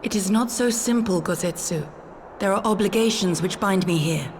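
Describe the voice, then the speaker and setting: A woman's voice: mid-range voice, moderate pace, a little hiss or hum, close to an everyday voice. A woman playing a dutiful, solemn exiled warrior who speaks formally and resolutely in a video game. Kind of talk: dramatic video game voice acting. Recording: music behind the voice.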